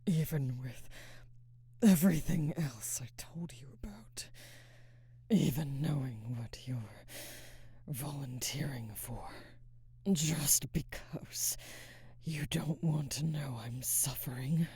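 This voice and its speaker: A woman playing a conflicted, protective alpha werewolf in an intimate ASMR roleplay, husky and halting, often slipping into a whisper. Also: low-pitched, dark tone, no echo, heavily acted. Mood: pleading, conflicted. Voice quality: husky, breathy, frequently dropping to a whisper. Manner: halting, hesitant, sighing. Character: adult female alpha werewolf, conflicted, protective, barely restrained.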